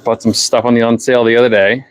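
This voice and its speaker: A man's voice: medium pitch, level tone, fast, clear, resonant tone. Chatty New Hampshire man packing orders. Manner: rambling, chatty, self-correcting. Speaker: livestream host. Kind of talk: casual livestream chat while packing orders.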